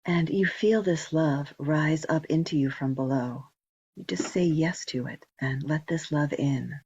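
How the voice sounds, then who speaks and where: A woman leading a guided meditation, giving slow, gentle instructions with long pauses in a soft, breathy voice. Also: sits low, no room sound. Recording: dull, muffled lo-fi recording.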